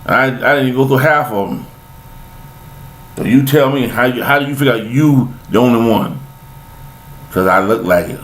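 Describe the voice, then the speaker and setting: A man's voice: medium pitch, moderate pace, pauses often, a bit bright, low-quality recording. An older man, talking in a rambling, preachy monologue, repeating himself with folksy sayings. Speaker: vlogger giving life commentary.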